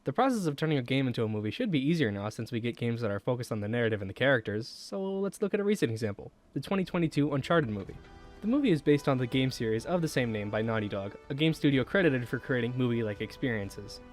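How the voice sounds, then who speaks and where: A young man narrates a scripted video essay over background music. He moves along at a brisk pace with an average-pitched voice, enunciating his lines in a measured, explanatory way and posing rhetorical questions as he goes. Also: very animated. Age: young adult.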